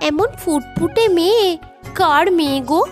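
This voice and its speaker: A woman acts out a doting village mother in a cartoon folk tale. Her voice is very high, exclamatory and coaxing, over light background music. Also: animated, moderate pace, a little mellow. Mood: amazed. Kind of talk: Bengali moral-story cartoon acting.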